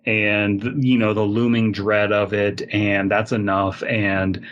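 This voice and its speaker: A man co-hosting a podcast in casual comedic chat, telling stories with animated, mock-serious exaggeration. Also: lowish voice, slow pace, lo-fi sound. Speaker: podcast co-host.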